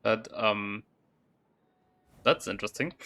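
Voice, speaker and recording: A man's voice: lowish voice, takes it slowly, gravelly, pushed and bright. A man giving casual livestream commentary as he plays a video game. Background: faint game music.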